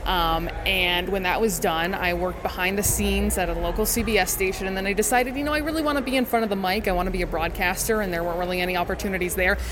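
A woman with a mid-pitched voice talks as an interview guest. She is an articulate sports broadcaster who tells her story earnestly and conversationally. There is clear vehicle-like noise in the background. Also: fast, hardly any pauses.

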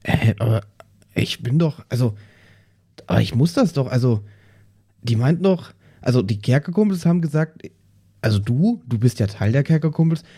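A man playing along in casual comic banter, sounding naive and halting as he gropes for words. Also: medium pitch, expressive, mellow, breathy edge. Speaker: player on the show.

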